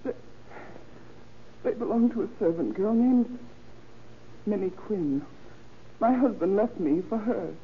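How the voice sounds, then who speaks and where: An actress playing a faded, anxious little housewife in an old radio crime drama, hesitant, flustered and often stammering. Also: female voice, medium pitch, lively intonation, takes it slowly, pauses often. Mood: ashamed, flustered. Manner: hesitant, stammering, repeats words. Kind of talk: 1930s-40s radio crime drama acting. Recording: old tinny radio recording with noise.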